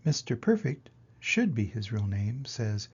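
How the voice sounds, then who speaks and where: An older man reading a children's picture book aloud in an expressive way, with dramatic pauses and emphasis. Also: mid-range voice, creaky, breathy edge, highs cut off. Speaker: reader of children's picture books. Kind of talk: animated storybook read-aloud.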